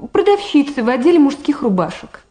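A fairly high, mellow voice playing a shy young woman, a modest student in a radio play. Her delivery is courteous, reserved and hesitant. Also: very animated, fairly quick. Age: young adult. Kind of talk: Soviet radio drama acting.

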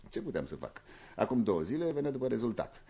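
An actor playing an anxious older academician in a radio play, confiding and justifying himself in a theatrical, worried manner. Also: male voice, medium pitch, sing-song, warm tone, husky. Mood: agitated, uneasy.

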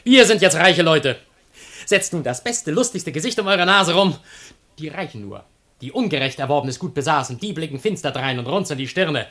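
A man's voice: fairly high pitch, expressive, moderate pace, a bit bright. An actor playing a sly, jovial man enjoying new riches in a classical radio comedy, declaiming with wry cheek. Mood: exuberant.

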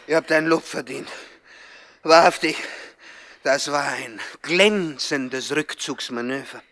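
An actor playing a hot-tempered, domineering gangster boss with a commanding, blustering manner in a 1960s radio crime comedy. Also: male voice, a little high, slow pace, a bit bright. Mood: imperious.

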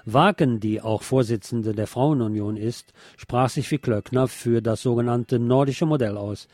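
A man's voice: mid-range voice, a little slow, a little mellow, no echo. A man reading scripted news in as a narrator. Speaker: news reader.

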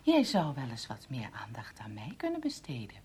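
An actress playing a suspicious, sharp-tongued wife in a radio play, sarcastic and challenging. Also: female voice, rumbling low, wildly swooping pitch, normal speed, slight background noise, heavily acted. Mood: irritated, needling. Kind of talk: Dutch radio play acting.

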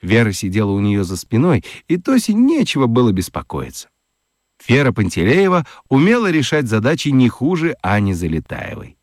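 A man narrating a children's radio play in a gentle, very expressive storytelling manner. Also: mid-range voice, moderate pace, no room sound, studio quality.

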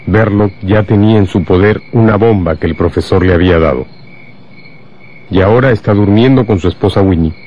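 A grave storyteller narrates a radio drama, voiced by a man. His voice is low and his delivery is scripted, measured and full of suspense. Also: a little mellow, natural voice.

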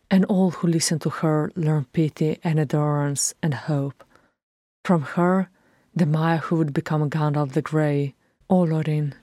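A woman reading a fan audiobook aloud, narrating in a steady, measured way. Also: low voice, dry recording, studio quality. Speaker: audiobook reader.

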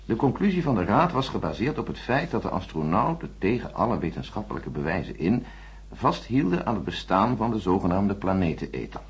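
A condescending space-programme boss in a radio drama. His voice is deep and very husky, formal and patronising, with animated intonation. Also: brisk, full character voice. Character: smooth-talking, condescending male chief space engineer, coolly official. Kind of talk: radio play dialogue.

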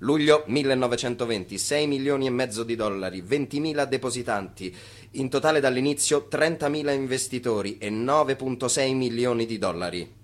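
An actor in a radio drama playing a fervent crier who narrates and proclaims a financier's glory in a declamatory manner. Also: male voice, medium pitch, a little fast, close to an everyday voice. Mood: proud, proclaiming.

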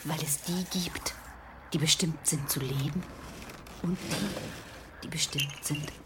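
A woman playing a solemn, fateful narrator in a radio drama, grave and measured, sometimes falling to a whisper. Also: low-pitched, heavy background noise. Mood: solemn, foreboding.

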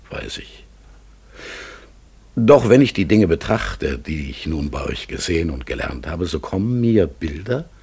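Radio play raven: gentle, brooding melancholic, very husky, slow and halting. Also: male voice, low-pitched, heavily acted. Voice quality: husky, breathy, gravelly. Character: melancholy, pondering raven in human life, gentle and troubled. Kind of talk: German radio play acting.

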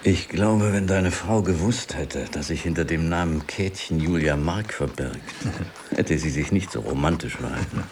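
An actor playing a sympathetic, frank friend, speaking in a confiding, matter-of-fact way in a German radio drama. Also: male voice, sits low, moderate pace, a little mellow, far from the mic, a little hiss or hum. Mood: amused, teasing.

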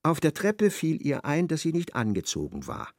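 A man's voice: a little high, huge pitch swings, normal speed, no echo, studio quality. A man narrating a literary radio drama. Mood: measured.

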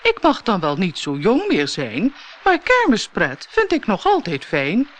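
A woman's voice: medium pitch, huge pitch swings, a little slow. A woman voicing a kindly, playful old male sea turtle who speaks in singsong rhyme for a children's storybook. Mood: helpful, cheery. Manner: singsong rhyming delivery.

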